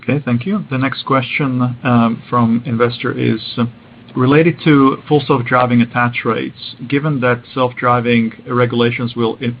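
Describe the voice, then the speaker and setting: A man moderating an earnings call, reading investor questions aloud in a plain, measured way. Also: medium pitch. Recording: dull lo-fi call audio.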